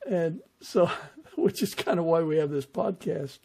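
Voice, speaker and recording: A man's voice: fairly high pitch, expressive, measured pace, creaky, mellow, breathy edge. An older man hosting a podcast, chatting casually as he interviews a guest. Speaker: podcast host.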